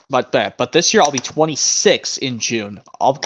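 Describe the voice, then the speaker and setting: A man's voice: medium pitch, a little hiss or hum. A man in his twenties hosting a casual live stream, rambling and often restarting his sentences. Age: 20s. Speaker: stream host. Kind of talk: casual chat. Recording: muffled lo-fi stream audio.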